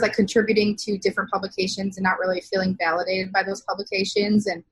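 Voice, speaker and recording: A woman's voice: mid-range voice, fairly bright tone, husky, no room sound, rough recording. A young adult woman being interviewed, talking casually in a loose, meandering way peppered with "like". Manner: heavy use of "like", loose and meandering. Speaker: interview guest, zine co-founder.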